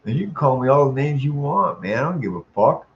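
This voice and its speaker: A man ranting in a profane monologue, ending sentences with "man," chuckling, and stalling on drawn-out "uh" sounds. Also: mid-range voice, lively intonation, muffled recording, slight background noise. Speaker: vlogger.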